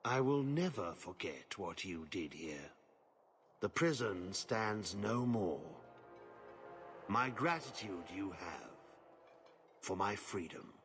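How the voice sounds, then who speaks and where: An actor playing a grave old warrior tainted by corruption in a fantasy game, speaking in a solemn, formal manner. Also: male voice, fairly low pitch, animated, takes it slowly, long pauses, a bit bright, faint music behind, exaggerated voice. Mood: solemn, grateful. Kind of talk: video game character acting.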